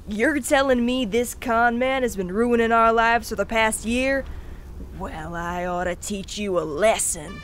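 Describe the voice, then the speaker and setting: A woman's voice: a little high, a little slow, slight background noise, close to an everyday voice. A woman playing a hot-headed, scornful Wild West posse leader who blusters in folksy insults. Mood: outraged. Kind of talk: western audio drama acting. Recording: loud music behind the voice.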